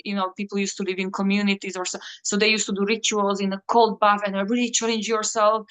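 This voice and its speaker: A woman whose first language is Slovak, talking in a reflective interview and often pausing and searching for words. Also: mid-range voice, flat delivery, fairly bright tone, clear, resonant tone. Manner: halting, searching for words, many fillers. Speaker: interview guest.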